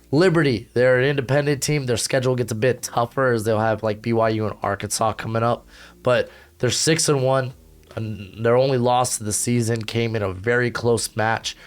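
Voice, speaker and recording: A hoarse young man hosting a casual college football rankings show from home, talking in an energetic, slangy way with lots of fillers. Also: medium pitch. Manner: energetic, slangy, rapid asides with frequent fillers. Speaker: college football analyst and channel host. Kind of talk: casual rankings commentary to camera. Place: home recording setup with a humidifier.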